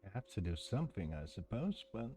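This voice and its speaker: A man's voice: fairly low pitch, big pitch swings, fairly quick, dark tone, a little hiss or hum. A man giving casual let's-play commentary in a musing, understated way. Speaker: video game streamer. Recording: clear music behind the voice. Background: music.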